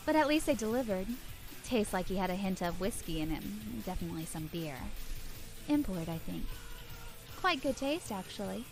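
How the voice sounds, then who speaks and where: A woman's voice: medium pitch, lightly acted, rough recording. An actress voicing a brash, thrill-seeking young female vampire in a video game, with a sassy, swaggering, slangy manner.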